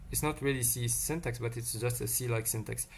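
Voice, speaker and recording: Guy teaching low-level coding live, mid-pitched voice, thinks out loud, bit of typing behind. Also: steady tone, moderate pace, creaky, a little hiss or hum. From Tunisia. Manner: thinks aloud, answers chat questions as they come in. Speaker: livestream tech teacher. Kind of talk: live-streamed coding lesson.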